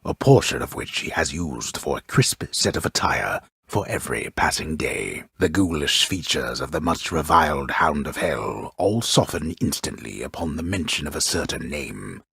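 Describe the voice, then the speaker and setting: A grave, grandiose storyteller of the underworld for a video game. His voice is extremely low and heavily husky, with a gravelly edge. He narrates in measured, formal phrases with dry, wry mockery, recorded close with no room sound. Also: expressive, pushed and bright, no echo, heavily acted. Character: grave, grandiose male storyteller of an underworld myth, drily mocking.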